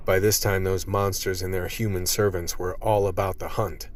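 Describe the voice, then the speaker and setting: An actor playing a weary, blunt, hard-boiled cop narrating a gritty ordeal in a scripted radio play. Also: male voice, deep voice, level tone, moderate pace, heavy background noise, lightly acted. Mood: grim. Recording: loud music under the voice.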